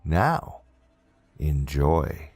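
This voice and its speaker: A man narrating scripted paranormal stories as a channel host, with a deliberate, dramatic storyteller delivery. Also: rumbling low, big pitch swings, a little mellow, breathy edge, soft background music.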